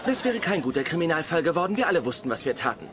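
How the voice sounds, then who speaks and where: A man's voice: a little high, sing-song, very fast, crowd noise. An actor playing a fussy, pedantic, literal-minded male scientist in a German sitcom dub, speaking precisely. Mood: smug. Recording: TV sitcom audio, loud background noise.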